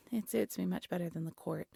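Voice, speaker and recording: A woman playing a devoted, lovesick exiled alchemist in an intimate fantasy audio roleplay, tender and soft-spoken, often dropping into a whisper. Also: lowish voice, normal speed, creaky, husky, dry recording, clean studio sound, heavily acted. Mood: fond, playful. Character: devoted, lovesick exiled alchemist practising dark magic, gentle.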